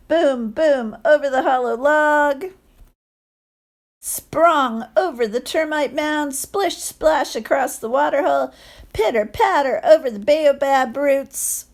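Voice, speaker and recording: A woman reading a picture book aloud to children, expressive, with chatty asides and questions to listeners. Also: high voice. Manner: expressive, acts out sound words, asks listeners questions. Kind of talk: animated read-aloud storytelling with chatty asides.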